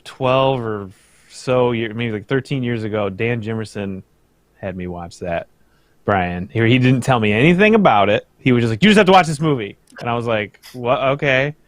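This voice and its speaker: A man talks informally, as if swapping stories with friends on a call. His voice sits at a middle pitch, and the sound is close and dry with no room to it. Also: expressive, moderate pace, no room sound. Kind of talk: casual chat, anecdotes. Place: video call.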